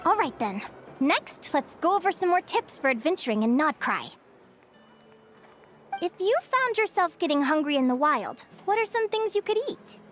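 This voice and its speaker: A woman's voice: high-pitched, very animated, normal speed, fairly bright tone, soft background music. An actress playing a cheerful young adventuring advisor in a video game, perky and instructive as she quizzes listeners. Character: Linnea, cheerful young female adventuring advisor teaching a crowd.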